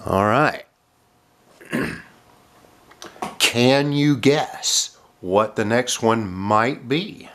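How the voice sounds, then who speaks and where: Slow-talking man with a stuffy nasal cold. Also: mid-range voice, a bit bright. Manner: halting, many uh fillers and throat clearing. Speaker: model airplane collector. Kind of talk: casual unboxing narration.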